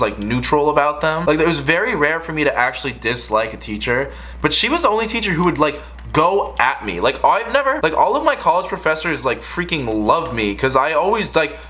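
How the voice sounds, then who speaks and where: Young adult man, American accent, bright forceful voice, slightly high pitch, exasperated slangy storytelling. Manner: exasperated, animated, slangy delivery. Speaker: video creator telling stories. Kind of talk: casual storytime rant.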